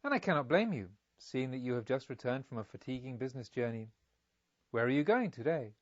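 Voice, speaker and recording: Guy doing a pompous old merchant dad from a storybook, effusive n sly, really swoopy pitch, dry sound. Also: very animated, a little fast, no echo, highs cut off, natural voice. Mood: genial, probing. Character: genial, pompous, scheming elderly merchant father. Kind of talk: audiobook character dialogue.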